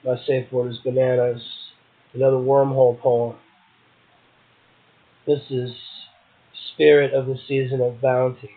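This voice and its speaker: Through a thin, phone-like line comes a man hosting a poetry show. He reads literary passages aloud and slips into casual commentary. His pitch sits in the middle of the male range. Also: flat delivery, unhurried, pauses often. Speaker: poetry podcast host, poet. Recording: muffled, tinny phone-like audio.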